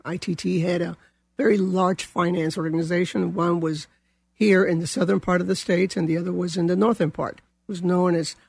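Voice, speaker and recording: A woman's voice: fairly low pitch, vocal fry, darkish tone, slightly rough. An older woman from Colombia with a Colombian Spanish accent, a guest telling her career story in a rambling way with many fillers. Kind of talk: casual interview.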